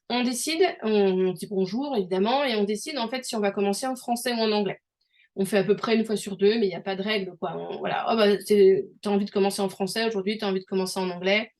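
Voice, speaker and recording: A woman's voice: medium pitch, a little mellow. A middle-aged woman from France talking casually in an interview, chatty and full of restarts as she explains with examples. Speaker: host.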